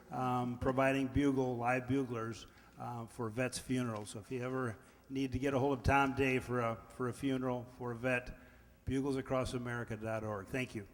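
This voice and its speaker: Plain-spoken man in an echoey hall. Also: medium pitch. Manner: plain, unscripted. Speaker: troupe member giving thanks. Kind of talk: informal thanks to a live audience. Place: hall with an audience. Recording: echoey hall, faint noise.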